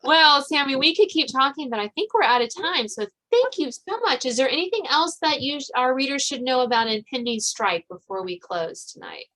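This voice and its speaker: Bright, higher-voiced woman hosting a podcast. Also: animated, normal speed, hardly any pauses, low-quality recording. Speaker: podcast host. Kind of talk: casual interview chat.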